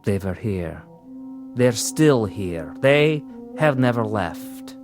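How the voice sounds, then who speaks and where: An actor playing a grave, relentless caretaker who acts as accuser and judge in a television drama, speaking in a solemn, formal, measured manner. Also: male voice, on the low side, very animated, darkish tone, soft background music, exaggerated voice. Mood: grave, haunting.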